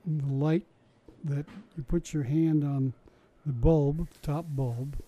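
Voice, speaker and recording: An older man reminisces in a relaxed interview, his voice very dark and mellow. He tells his stories in a chatty, wry way, often restarting mid-sentence and dropping small asides. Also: a little high, slow. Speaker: interviewee recalling his science show work. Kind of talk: casual oral-history storytelling.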